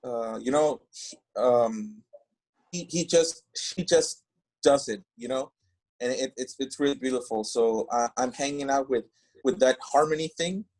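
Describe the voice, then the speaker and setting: A man, a band singer guesting on a podcast, talking casually and haltingly as he searches for English words. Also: medium pitch, a little mellow, rough recording. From Mexico. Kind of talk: casual interview chat.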